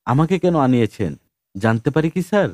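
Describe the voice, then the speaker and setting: A man voicing a wry, polite drifter who calmly spins tall tales to the police in an audiobook. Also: mid-range voice, fairly quick, no echo, clean studio sound, close to an everyday voice. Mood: politely bluffing.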